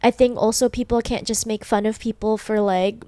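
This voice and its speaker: High-voiced young woman, rambling chat. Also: fairly even intonation, moderate pace, mellow, no echo. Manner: rambling, heavy use of "like". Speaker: podcast co-host. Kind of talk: casual chat.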